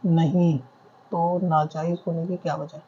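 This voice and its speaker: A high-voiced man gives religious guidance like a teacher. His intonation stays very level as he reasons point by point and restates things. The recording is dull and lo-fi. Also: flat delivery, measured pace, warm tone, breathy edge. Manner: didactic, reasoning step by step with repetition. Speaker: Islamic scholar answering questions. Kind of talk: explanatory religious ruling in question-and-answer form. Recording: dull lo-fi audio.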